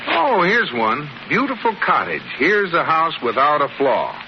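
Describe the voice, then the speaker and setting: An actor playing a sensible, exasperated husband in an old radio sitcom, with a wry delivery. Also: male voice, on the high side, wildly swooping pitch, a little slow, full character voice. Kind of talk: 1940s-50s radio sitcom acting. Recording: muffled old radio recording, light hiss.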